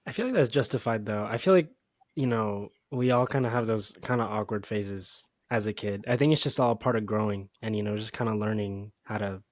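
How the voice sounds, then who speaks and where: Low-voiced young man in easygoing chat. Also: brisk, no room sound. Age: young adult. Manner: filler-heavy, reflective, says "you know" a lot. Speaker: podcast co-host. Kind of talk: casual chat.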